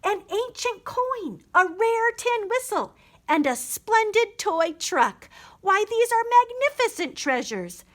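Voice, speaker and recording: A woman puts on a grandly admiring male critter from a picture book. His voice is high and full of appreciation. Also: very animated, slow, fairly bright tone, heavily acted. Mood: marveling.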